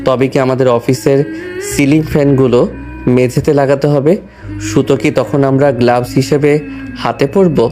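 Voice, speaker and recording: A man's voice: medium pitch, steady tone, normal speed, mellow, faint music behind, lightly acted. A man playing a flustered, polite young male office employee in an audio romance story. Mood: earnest rambling. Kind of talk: audio story dramatized acting.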